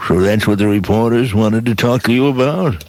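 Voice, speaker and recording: A man's voice: on the low side, normal speed, a little mellow, no echo, natural voice. A man playing a cold, smug, powerful older moneylender in an amateur radio play.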